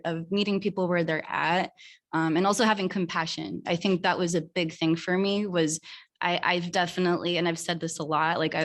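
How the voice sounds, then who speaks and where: Earnest young woman on a panel, lowish voice, pretty flat, lots of ums. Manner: earnest, many fillers and restarts. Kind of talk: casual panel talk.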